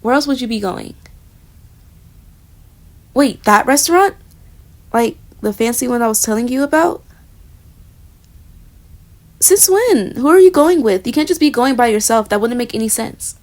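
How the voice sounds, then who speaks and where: A young woman voicing a flustered, jealous roommate in a romantic audio roleplay, talking straight to the listener and trailing off into soft murmurs. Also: a little high, animated, moderate pace, long pauses, close to an everyday voice.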